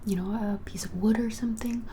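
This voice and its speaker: A woman in her twenties in a breathy whisper, doing a gentle, hesitant, conversational ASMR roleplay as a shopkeeper. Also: fairly high pitch, fairly even intonation, a little fast, a little hiss or hum. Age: 20s. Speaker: ASMR creator playing a witch shop keeper. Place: small plain room indoors.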